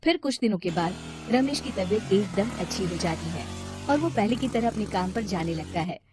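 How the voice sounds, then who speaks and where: A woman's voice: medium pitch, expressive, normal speed, over music. A woman reading scripted story narration as the storyteller.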